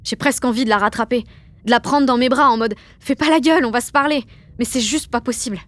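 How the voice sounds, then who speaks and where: A woman's voice: on the high side, fast talker, a bit bright, dry recording, lightly acted. An actress playing a rebellious, emotional sixteen-year-old girl who narrates an audio drama in blunt, sarcastic slang. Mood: melancholy. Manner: slangy, blunt teenage delivery. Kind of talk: first-person audio fiction narration.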